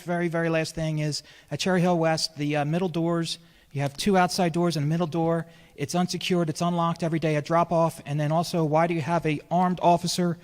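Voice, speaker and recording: A man speaks earnestly and insistently at a school board podium, his voice pitched a little higher than most men's. He restarts phrases often. A long hall reverb trails each line. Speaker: public commenter. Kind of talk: public comment at a board meeting.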